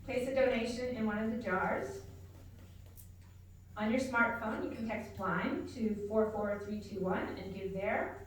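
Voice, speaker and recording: A woman's voice: a little high, steady tone, a little slow. A woman addressing an audience in a hall, reading out introductory announcements for a live event. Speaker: organization director giving event introduction. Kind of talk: public announcement read to an audience. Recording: very echoey hall, distant mic, faint noise.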